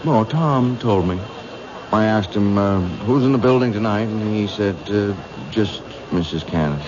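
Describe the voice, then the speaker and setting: Actor as a deep-voiced, hesitant, polite killer. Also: male voice, close to an everyday voice. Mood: evasive, casual. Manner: halting, polite menace. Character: hesitant, soft-spoken male killer stalking women. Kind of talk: radio drama acting. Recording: noisy, muffled vintage radio broadcast.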